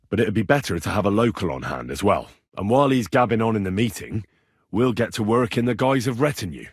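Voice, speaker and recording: A man playing a plucky, wry young medieval adventurer in video game dialogue, conversational and dry, with a slightly husky voice. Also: medium pitch, dry recording.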